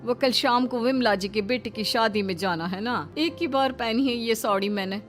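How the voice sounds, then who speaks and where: A woman's voice: a little high, normal speed, faint music behind, close to an everyday voice. A woman voicing a bossy, possessive older mother-in-law in a cartoon, emphatic, coaxing and imperious.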